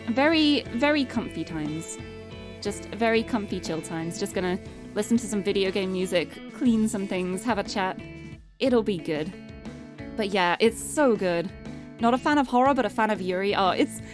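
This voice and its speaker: A young woman streamer chatting casually and cheerfully, her voice getting a little hoarse and tired. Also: fairly high pitch. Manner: bubbly, chatty, restarts words often. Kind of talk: casual live-stream chat. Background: background game music.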